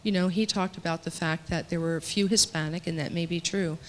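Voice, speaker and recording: A woman speaking at a panel discussion, voicing for a panelist in a measured, earnest way with some emphatic stretches. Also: fairly low pitch, steady tone, slight background noise. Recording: long reverb of a large hall.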